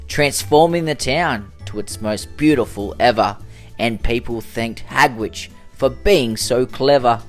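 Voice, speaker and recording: A man narrating a rhyming children's storybook as host, in an expressive, dramatic storytelling manner. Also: mid-range voice. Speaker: storyteller, host of a children's read-aloud show.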